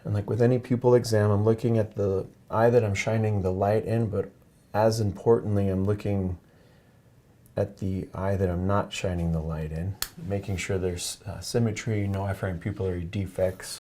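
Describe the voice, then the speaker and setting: A man's voice: on the low side, fairly even intonation, moderate pace, dark tone. A man working as a clinician, giving a semi-casual instructional demonstration of an eye exam in a considerate, explanatory way.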